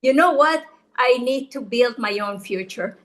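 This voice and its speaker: A middle-aged woman from Ecuador talks with an Ecuadorian Spanish accent. Her voice sits a little high, and she tells her story earnestly, often restarting her sentences. She speaks as a guest in a relaxed chat. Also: unhurried. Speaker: guest realtor. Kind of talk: casual interview chat.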